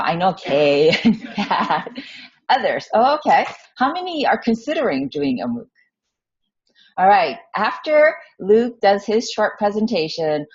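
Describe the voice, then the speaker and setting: Husky-voiced woman moderating a panel. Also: on the low side. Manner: informal, halting, polls the audience. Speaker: session moderator. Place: conference session room. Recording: dull lo-fi conference recording.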